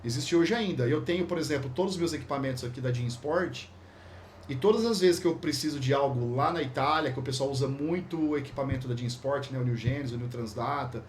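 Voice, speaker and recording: A man's voice: mid-range voice, fairly quick, a little hiss or hum. A man with a Brazilian Portuguese accent, teaching a course in an informal, slangy way, rambling and restarting his sentences. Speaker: course instructor. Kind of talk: casual spoken lesson.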